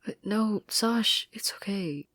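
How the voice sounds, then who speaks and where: A woman acts out a nervous, devoted teenage girl in an audio story. The voice is pitched up, breathy and hesitant, as if pleading to be forgiven. It is recorded close and dry. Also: slow, a bit bright, no echo. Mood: earnest, apologetic. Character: anxious, apologetic, tearful teenage girl, devoted to friends.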